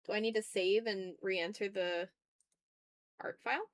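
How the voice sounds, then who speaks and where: A woman's voice: medium pitch, fairly even intonation, unhurried. Woman art streamer chatting casually while working. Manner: muttering to self, talking through each step. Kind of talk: casual livestream chat while drawing.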